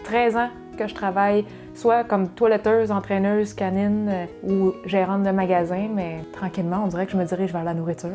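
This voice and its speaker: A woman from Quebec with a Québécois accent chats through a relaxed Q&A, quick and full of restarts. Her voice is mellow and soft-edged, with faint music playing under it. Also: medium pitch. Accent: Québécois French. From Quebec, Saguenay. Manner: chatty, fast, with many fillers and restarts. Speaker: cooking channel co-host. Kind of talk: casual Q&A chat.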